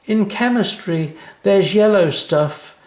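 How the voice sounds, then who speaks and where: Man putting on a high sing-song little schoolgirl. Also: expressive, slow pace, a little mellow, echoey room. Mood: proud, reciting. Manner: sing-song, emphatic recitation. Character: cheeky, eager little schoolgirl reciting a poem. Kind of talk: children's storybook character voice.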